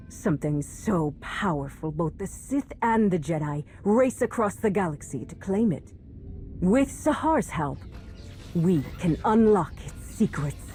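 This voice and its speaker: A woman's voice: mid-range voice, dark tone, natural voice. A woman playing a calculating, ruthless warrior leader in a game, giving a solemn, commanding speech. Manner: solemn, deliberate, commanding oratory. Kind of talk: video game villain monologue.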